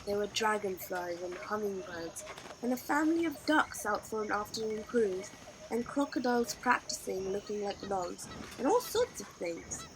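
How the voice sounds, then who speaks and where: A woman's voice: mid-range voice, lively intonation, moderate pace, background noise. A woman narrating a children's novel expressively, as a storybook reader.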